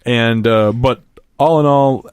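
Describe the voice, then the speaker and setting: A man's voice: medium pitch, slow pace, clear, resonant tone, no room sound. Rambling man, dry podcast mic. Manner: rambling, laughs often. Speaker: podcast co-host. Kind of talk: casual podcast chat.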